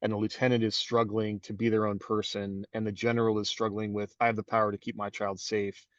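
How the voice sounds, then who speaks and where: A man's voice: fairly low pitch, flat delivery, fairly quick, no echo, lo-fi sound. A man co-hosting a podcast, talking in a thoughtful, earnest way. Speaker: podcast co-host. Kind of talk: casual chat.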